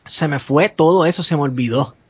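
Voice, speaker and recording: A Puerto Rican man with a Puerto Rican Spanish accent, a podcast guest telling a story casually, animated and laughing often as he acts out the dialogue. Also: on the high side, brisk, slightly rough, no echo, lo-fi sound. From Puerto Rico.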